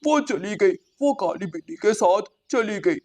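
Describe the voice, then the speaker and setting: A woman's voice: medium pitch, lively intonation, unhurried, a little mellow. A protective male crow from a children's cartoon , acted by a woman. He is suspicious and anxious, scolding and pleading with urgency in a medium female register. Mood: worried pleading. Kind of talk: children's animated story dialogue.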